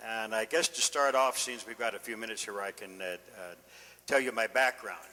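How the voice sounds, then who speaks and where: Bright older American man in echoey room. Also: lowish voice, normal speed. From Northern California, United States. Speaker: city council candidate. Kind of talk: informal campaign speech. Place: indoor meeting room. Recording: echoey meeting room, long reverb.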